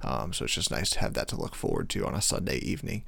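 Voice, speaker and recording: A male podcast host with a slightly hoarse voice, talking casually in a rambling way with many fillers. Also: extremely low pitch, wildly swooping pitch, quick pace, a bit bright. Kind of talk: casual solo podcast intro.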